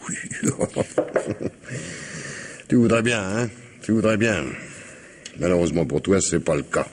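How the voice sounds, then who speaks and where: Deep-voiced sneering husband, old radio play, swings up and down a lot. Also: male voice, animated, unhurried, slight background noise. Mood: mocking. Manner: mocking, needling, theatrical laughs. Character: sardonic, suspicious husband, middle-aged. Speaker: actor in a radio drama. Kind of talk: vintage French radio drama acting.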